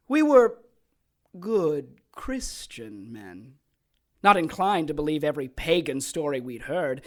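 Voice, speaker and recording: An actor playing a sadistic sorcerer and former soldier in an audio drama, taunting and theatrical, with gleeful menace. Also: medium pitch. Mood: dramatic storytelling. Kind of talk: audio drama acting, storytelling monologue.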